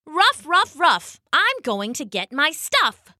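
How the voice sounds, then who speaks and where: A sly, hungry yet companionable storybook fox , as a woman puts it on. The delivery drawls and barks with lively, swooping pitch. The sound is bright, close and dry. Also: measured pace, dry recording, full character voice. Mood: rowdy. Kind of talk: children's storybook character voice.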